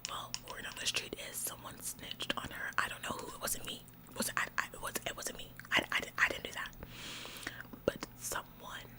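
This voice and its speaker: Female performer as self-important female store clerk, ASMR roleplay. Whispered, smug, nagging, halting and repetitive. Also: lightly acted, low-quality recording. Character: bossy, officious female store employee, smug tattletale.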